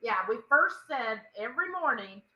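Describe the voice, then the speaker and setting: A woman's voice: a little high, animated, moderate pace, highs cut off, crowd noise. A woman with a Texan accent hosting a casual livestream chat, reading viewer comments aloud and laughing often. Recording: echoey room.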